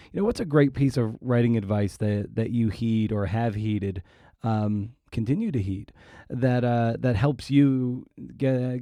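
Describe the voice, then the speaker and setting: A man interviewing casually as a podcast host, halting as he searches for his words. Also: mid-range voice, dark tone, dry recording.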